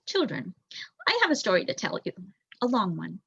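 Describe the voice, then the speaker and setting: A woman voicing a kindly, careful mother field mouse in a storybook, with measured, reassuring explanations. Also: mid-range voice, wildly swooping pitch, creaky, a bit bright, breathy edge, dry recording, highs cut off, heavily acted. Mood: gently solemn.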